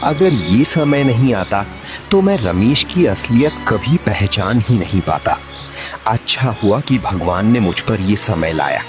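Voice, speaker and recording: A man's voice: fairly low pitch, lively intonation, measured pace. A man playing a poor, devout farmer in a moral-story cartoon, speaking with patient, earnest reassurance. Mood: reassuring. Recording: music behind the voice.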